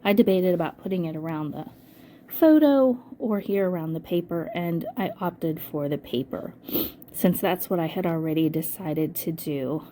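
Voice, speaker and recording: An older woman with a hoarse voice from a cold, casually narrating a scrapbooking voice-over and thinking aloud with frequent ums. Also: on the low side, normal speed, mellow, slight background noise, rough recording. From United States. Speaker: scrapbooking channel host.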